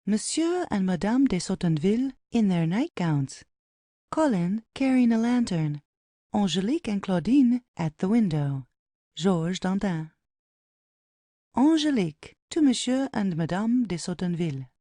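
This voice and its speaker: Mellow woman narrator, lively intonation. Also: mid-range voice, unhurried, no echo. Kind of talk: scripted reading of stage directions.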